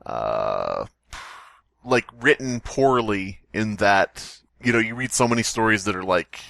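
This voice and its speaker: A man's voice: lowish voice, sing-song, measured pace, gravelly, a bit bright, dry recording, clean studio sound. A man hosting a comedy podcast, chatting casually and riffing on a story with mock-dramatic jokes. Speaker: podcast host.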